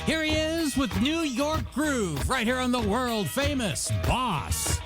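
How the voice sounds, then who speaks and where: Radio dj doing upbeat song intros, really animated up n down voice, music behind it. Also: high voice, slow pace. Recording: music under the voice.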